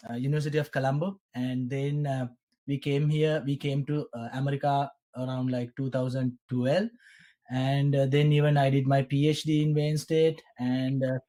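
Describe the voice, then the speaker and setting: A man's voice: medium pitch, mellow, rough recording. Sri lankan-born guy in his 30s, lots of uh and um, easygoing chat. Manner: hesitant, many fillers. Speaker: guest. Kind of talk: casual chat.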